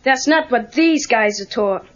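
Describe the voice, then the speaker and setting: An actor playing an eager, wisecracking American teenage boy in film dialogue, with an animated, sarcastic way of talking. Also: very high-pitched, unhurried, heavily acted. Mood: frustrated, sarcastic. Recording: dull lo-fi cassette tape.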